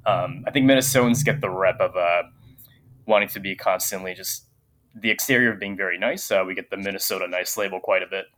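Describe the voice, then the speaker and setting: A young man with a fairly low voice talks thoughtfully, pausing often with ums. He sounds like a guest speaking over a remote call. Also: rough recording. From Japan. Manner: soft-spoken with many filler ums. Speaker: guest, teacher. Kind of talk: casual interview conversation.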